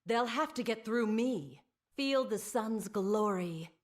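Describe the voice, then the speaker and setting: A proud, watchful woman warrior of the sun in a video game. Her voice sits on the higher side and moves widely in pitch. She declares each line slowly and resolutely. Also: lively intonation. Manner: declamatory, resolute battle delivery. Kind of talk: video game champion voice lines.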